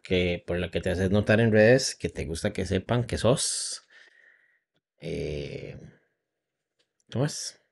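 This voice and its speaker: A man's voice: deep voice, takes it slowly, vocal fry, long pauses. A man, a comedian hosting a podcast, who banters and teases his guest. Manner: teasing, joking banter. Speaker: podcast host and stand-up comedian. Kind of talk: casual podcast chat.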